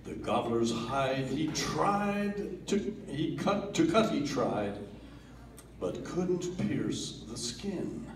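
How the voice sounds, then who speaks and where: Man, slow theatrical poem reading, roomy echo, distant home video. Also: a little high, a little hiss or hum. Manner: slow, theatrical delivery with lively swells and hushed drops. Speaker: family member reading a poem aloud. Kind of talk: dramatic poem recitation. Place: family holiday gathering in a room.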